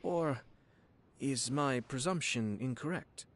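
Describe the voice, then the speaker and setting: An actor playing a refined, slyly dry young nobleman in a video game, speaking in a formal, eloquent, measured way. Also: medium pitch, close to an everyday voice. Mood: composed, courteous. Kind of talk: video game story dialogue.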